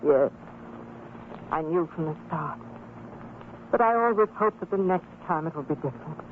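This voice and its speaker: A woman playing a worried, resigned mother with a measured, earnest manner, in an old radio drama. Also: deep voice, lively intonation, narrow, tinny audio. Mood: bitter, sorrowful. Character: weary, worried fisherman's wife and mother, bitter, resigned. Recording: thin, band-limited old radio recording, faint noise.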